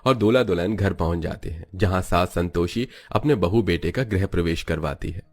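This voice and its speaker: A man's voice: low voice, moderate pace, darkish tone. A man reading scripted narration for a moral story.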